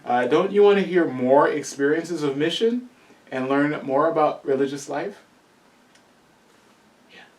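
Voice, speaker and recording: A man hosts a small talk show. He chimes in with brief affirmations and wraps up with a friendly sign-off. His voice sits back from the microphone in a room with a clear echo. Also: on the high side, expressive, unhurried, pauses often, a little mellow. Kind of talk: casual interview hosting. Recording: roomy, distant from the mic.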